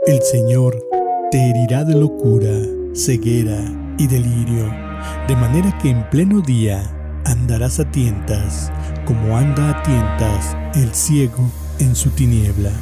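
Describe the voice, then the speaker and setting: An actor playing a stern scriptural voice, solemnly declaiming divine curses in an audio drama. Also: male voice, medium pitch, measured pace, lightly acted. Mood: solemn, condemning. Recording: voice over prominent music.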